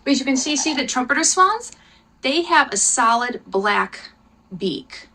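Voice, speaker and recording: A woman's voice: high voice, big pitch swings, a little slow, pushed and bright, rough recording. A woman hosting a live lesson for children, explaining things instructively, spelling out words and speaking directly to her viewers. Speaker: homeschool teacher, lesson host.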